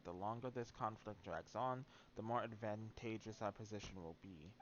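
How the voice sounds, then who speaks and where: Low-voiced man streaming a game, dull audio. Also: slight background noise. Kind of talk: casual live gameplay commentary with some reading aloud.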